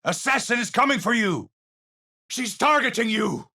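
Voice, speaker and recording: An actor playing a cerebral, haunted, middle-aged scientist in a video game, speaking formally and precisely with theatrical, pensive phrasing. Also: male voice, very high voice, animated, moderate pace, sharp tone, full character voice. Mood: alarmed. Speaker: video game hero character. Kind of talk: scripted game voice lines, theatrical.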